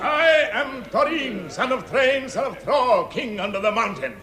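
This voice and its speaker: A man's voice: extremely high pitch, animated, unhurried, reverberant, crowd noise. An actor playing a proud, imperious dwarf king with a grandiose, declamatory delivery in a radio drama. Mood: proud, commanding.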